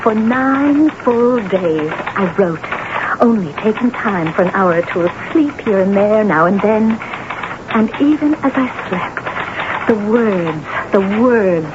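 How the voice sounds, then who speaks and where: An actress playing a self-absorbed, temperamental, envious novelist in a theatrical, gushing old-time radio drama style. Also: female voice, mid-range voice, lively intonation, takes it slowly. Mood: excited, dramatic. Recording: dull, noisy old radio broadcast.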